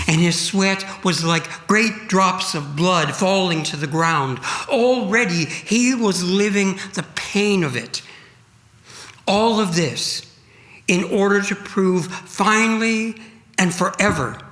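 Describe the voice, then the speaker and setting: A man with a fairly high, forceful voice narrates a sacred story. His delivery is solemn and dramatic, very slow, and broken by deliberate pauses. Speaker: reader at a church Good Friday service.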